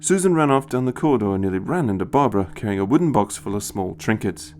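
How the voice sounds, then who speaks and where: A man narrating a scripted story in an expressive storytelling style. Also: lowish voice, fast, a little hiss or hum. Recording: music behind the voice. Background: music.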